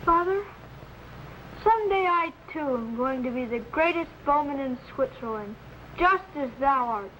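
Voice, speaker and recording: A woman's voice: high voice, expressive, measured pace, fairly bright tone. A woman playing an eager, brave young Swiss boy in an old-time adventure serial, with earnest, archaic formal speech. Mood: earnest, curious. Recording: slightly noisy vintage broadcast recording.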